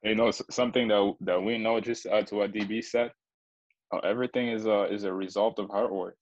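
A man who coaches basketball, explaining things in a steady, earnest way in a casual chat. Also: fairly low pitch, fairly quick, no echo, dull audio.

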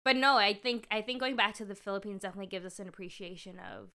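Young woman co-host, emphatic, bright tone. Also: medium pitch, fast. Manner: animated, emphatic, repeats words. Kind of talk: casual chat.

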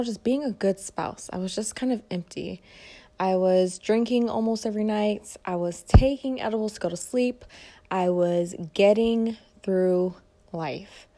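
A woman's voice: on the low side, dark tone. A woman in her twenties from Detroit hosting her own podcast, talking casually and rambling with many fillers, in a soothing voice. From Detroit, Michigan. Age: 20s. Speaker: podcast host, fitness and mindset coach. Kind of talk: casual solo podcast monologue.

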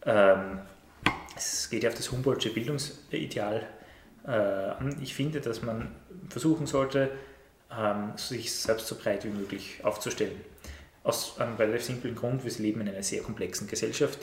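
A middle-aged man, a teacher explaining his views in an interview, measured and hesitant with many fillers. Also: fairly low pitch. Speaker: teacher of Latin and Ancient Greek. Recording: roomy echo with faint noise.

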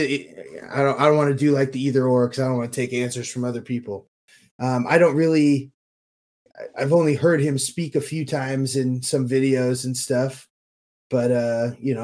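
Man, average pitch, podcast co-host, casual chat, informal, chatty, lightly joking. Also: a little mellow.

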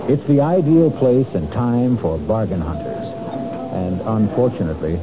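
A male host narrating a mystery introduction in a dramatic, measured storytelling manner. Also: fairly low pitch, expressive. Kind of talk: scripted narration. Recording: dull lo-fi 1970s radio broadcast with music. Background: music.

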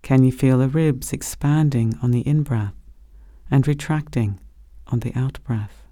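A man gently leading a guided body scan meditation as a meditation teacher, speaking slowly and soothingly. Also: medium pitch, no echo, clean studio sound. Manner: gentle, slow, soothing instruction.

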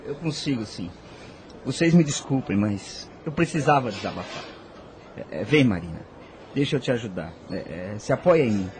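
A man's voice: medium pitch, big pitch swings, normal speed, a little mellow, a little hiss or hum, natural voice. A man with a Brazilian accent playing a guilt-ridden, tender and troubled man in a radio soap. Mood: apologetic. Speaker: radio drama actor.

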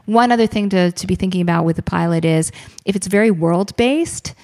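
A woman co-hosting a podcast, chatting casually and answering listener questions with animated, swooping emphasis. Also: on the low side, fairly quick. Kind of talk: casual chat.

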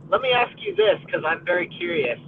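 A young American man in his college years talks in a friendly, upbeat way, stumbling into restarts and fillers. His voice sits a little high. It comes through a narrow, tinny line with a faint hiss behind it. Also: sing-song, brisk. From United States. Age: college age. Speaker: podcast co-host. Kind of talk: casual conversation.

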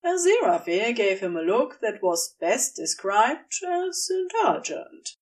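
A woman's voice: fairly high pitch, big pitch swings, a little slow, recorded from a distance. A woman narrating a scripted story, recorded slightly away from the microphone.

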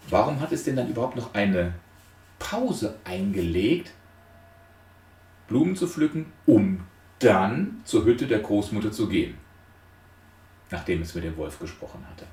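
Echoey, low-voiced man lecturing with lively swings. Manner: rhetorical questions, emphatic enumerated points. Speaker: lecturer on transactional analysis. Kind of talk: explanatory lecture retelling a fairy tale. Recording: echoey room, distant microphone.

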